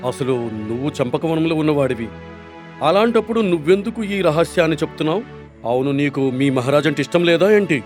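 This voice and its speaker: A man voicing a stern but protective animal king in an animated story, speaking earnestly. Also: fairly high pitch, fast talker, soft background music, close to an everyday voice. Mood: suspicious.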